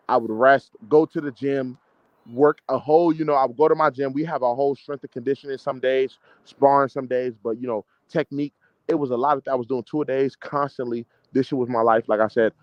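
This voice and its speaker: Young american guy, boxer, talks real fast and cocky, slangy, kinda dull dry audio. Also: medium pitch, dry recording. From United States, DC-Maryland-Virginia area. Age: mid-20s. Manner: slangy, confident, emphatic, repeats himself. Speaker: amateur boxer, guest. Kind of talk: casual interview chat.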